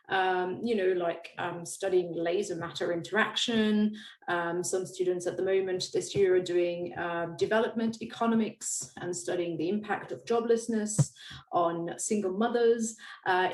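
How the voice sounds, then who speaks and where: A woman's voice: mid-range voice, a little mellow. A woman from the program staff presenting in a webinar, hesitant, with many fillers and restarts. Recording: echoey room on a call.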